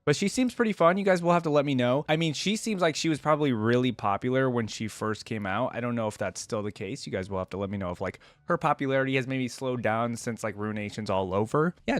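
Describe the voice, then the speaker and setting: A man's voice: medium pitch, no echo. Casual man reacting in a dry room. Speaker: reaction video host.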